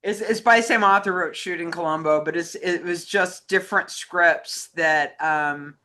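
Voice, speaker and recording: A woman's voice: on the low side, normal speed, pushed and bright. A woman co-hosting a film talk show, chatting casually and conversationally. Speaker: co-host fielding fan questions.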